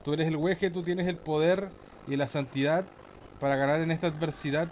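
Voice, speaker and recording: A man with a Chilean Spanish accent giving casual, excitable let's play commentary in a playful, slangy, exclamatory manner. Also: a little high, moderate pace, a little hiss or hum, low-quality recording. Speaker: gaming YouTuber, host.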